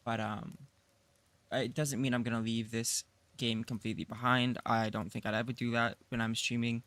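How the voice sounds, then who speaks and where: A man with a raspy voice, groggy from just waking up, casually chatting through a live gameplay stream and rambling with self-deprecating humor. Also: medium pitch, level tone, normal speed, a bit bright, dry recording. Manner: rambling, self-deprecating, with frequent fillers and laughs. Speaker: game streamer.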